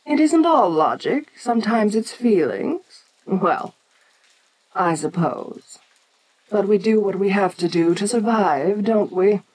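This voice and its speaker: A woman playing an ancient, imperious kaiju giantess in an audio roleplay, speaking formally and with deliberate, musing condescension. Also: medium pitch, lively intonation, darkish tone, slightly rough, rough recording. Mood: musing, condescending. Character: imperious ancient female kaiju giantess, haughty, curious, domineering. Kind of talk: audio roleplay addressed to the listener.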